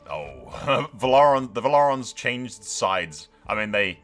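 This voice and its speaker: A man's voice: medium pitch, very animated, slow pace, fairly bright tone, faint music behind. A man giving casual, sweary game commentary, slipping into mock-grandiose role-play narration. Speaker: gaming video host.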